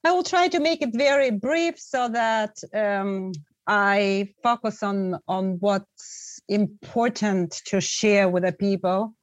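A woman's voice: medium pitch, slow pace. A middle-aged woman speaks as a podcast guest. Her words are reflective and earnest, and she often restarts a phrase before she goes on. Her voice sits in a middle register and sounds dry and close, with no room echo. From Bulgaria. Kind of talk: conversational storytelling.

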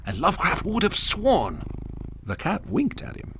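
An actor narrating a whimsical holiday radio drama in a dramatic storybook style. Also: male voice, high-pitched, wildly swooping pitch, a little slow, noisy background, heavily acted. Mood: warmly dramatic. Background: music.

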